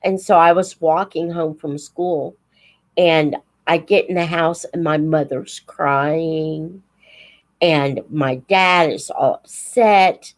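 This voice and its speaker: An American woman in her seventies reminiscing informally as host of a live stream, halting and reflective, pausing mid-sentence and voicing remembered dialogue. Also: sits low, fairly even intonation. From United States. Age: 70s.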